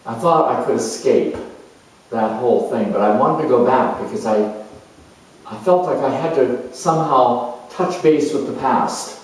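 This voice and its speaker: Very echoey far-off recording, man performing as reflective artist, slow pace, halting musing monologue, expressive pauses. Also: medium pitch, natural voice. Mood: wistful. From New Zealand. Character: creative, sensual, reflective middle-aged male sculptor, spiritual seeker. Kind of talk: dramatized first-person monologue before an audience or room. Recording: very echoey room, distant microphone.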